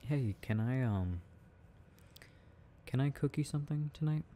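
A young man playing a sweet, shy, devoted boyfriend in an intimate ASMR roleplay, speaking softly and hesitantly, close to the mic. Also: mid-range voice, lively intonation, natural voice. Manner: soft, tender, hesitant, halting.

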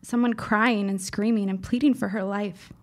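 A woman's voice: mid-range voice, steady tone, normal speed, darkish tone, close to an everyday voice. An actress playing a precocious fifteen-year-old girl in an audio drama, speaking in formal, dramatic phrases. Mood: earnest, dramatic. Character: precocious, earnest fifteen-year-old girl writer, shaken but insistent.

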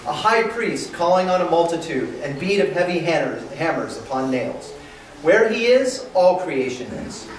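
A man's voice: fairly high pitch, animated, moderate pace, lightly acted. A man voicing a bold, visionary chapel priest, declaiming archaic verse in a staged dramatic reading. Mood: rapt. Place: hotel conference room, indoors. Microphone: camera across the room. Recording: very echoey room, distant mic, faint noise.

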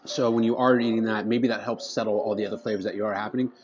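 A man's voice: fairly low pitch, steady tone, rapid-fire. A man in his thirties reviewing food to camera at a restaurant table, eager and chatty, often restarting his phrases between bites. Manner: enthusiastic, restarts phrases while tasting. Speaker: food vlogger. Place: indoor restaurant dining room. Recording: slightly dull sound, faint music behind.

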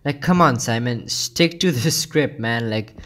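A man's voice: mid-range voice, a little slow, a bit bright. A young man from Pakistan, giving sarcastic, rambling comedic commentary on a video. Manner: sarcastic, mocking, rambling with many "like"s.

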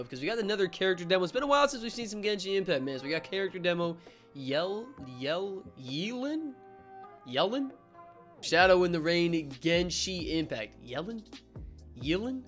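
Young man, reaction host, higher-pitched and bright, casual, profane, joking delivery. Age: young adult.

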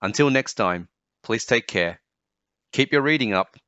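A man reading a children's book aloud as narrator, in a measured and expressive storytelling manner. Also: medium pitch, dry recording, highs cut off. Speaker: storybook narrator, channel host. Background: music.

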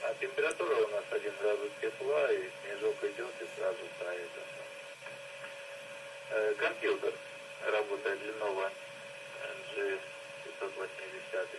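A man's voice: fairly low pitch, unhurried. A man from the Belgorod region of Russia heard through a radio receiver, making a terse amateur radio exchange and spelling call signs with names. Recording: noisy FM radio reception from speaker.

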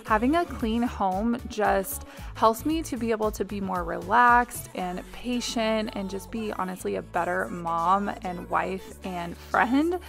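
A woman's voice: medium pitch, unhurried, gravelly, a bit bright, faint music behind. A woman in her thirties, a mother and home vlogger, chatting through a cleaning video voice-over in a confiding, casual way with little ums.

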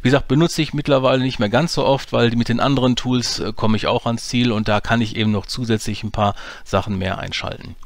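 A man's voice: mid-range voice, steady tone, a little fast, hardly any pauses, no echo. Brief: male tutorial host, casual, hesitant, dry recording. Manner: casual, explanatory, frequent hesitations. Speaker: audio drama producer and tutorial host. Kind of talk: screen-recorded software tutorial.

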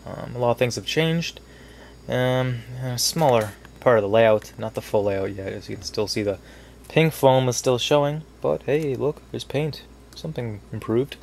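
A man's voice: mid-range voice, normal speed, creaky, a little mellow, slight background noise. Congested young man rambling over his layout. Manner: rambling, many fillers. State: congested from a cold. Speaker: model railroad hobbyist. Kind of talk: casual hobby video narration. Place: basement hobby room.

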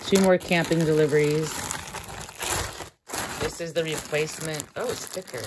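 A middle-aged woman vlogger chatting casually and enthusiastically as she unboxes parcels, often exclaiming. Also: extremely low pitch. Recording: clear background noise, crinkling packaging. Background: crinkling paper and packaging, clicks.

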